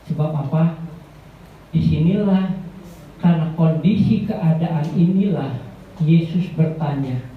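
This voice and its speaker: A man giving a guest sermon to a church congregation in a rambling way, often calling out to the listeners and growing louder as he preaches. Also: slow, long pauses, slight background noise. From Indonesia. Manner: rambling, addresses the congregation as "saudara"; grows louder and higher when preaching. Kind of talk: Pentecostal sermon. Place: church hall. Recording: echoey church hall, tinny and far off.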